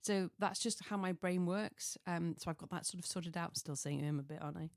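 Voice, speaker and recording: A slightly hoarse woman chatting casually and solo as a podcast host, rambling and self-deprecating, often wandering off on tangents. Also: lowish voice, fairly quick, a little mellow, no echo, studio quality. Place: small home office.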